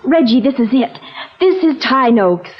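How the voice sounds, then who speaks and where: Genteel young bride, muffled recording. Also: female voice, sits high, animated, a little slow. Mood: affectionate. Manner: gentle, coaxing, polite. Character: sweet, genteel young bride, soothing and affectionate. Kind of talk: old-time radio drama acting. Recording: dull lo-fi old radio recording.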